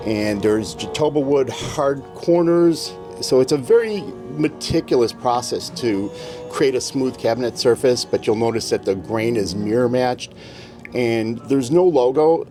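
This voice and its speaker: A man giving a measured, articulate product presentation as a company representative at a trade show. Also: medium pitch. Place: indoor exhibit room at audio show. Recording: music playing in a show room. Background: loud music.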